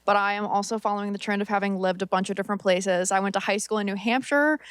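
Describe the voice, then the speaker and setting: A woman who is a second-year medical student, introducing herself in a quick, chatty way. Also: medium pitch, fairly even intonation, smooth, no room sound.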